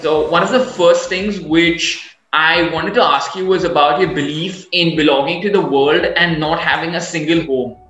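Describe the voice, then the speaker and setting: A man's voice: on the high side, steady tone, moderate pace, hardly any pauses, a bit bright, roomy sound, lo-fi sound, slight background noise. A man hosting a podcast in a conversational interview style. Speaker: podcast host.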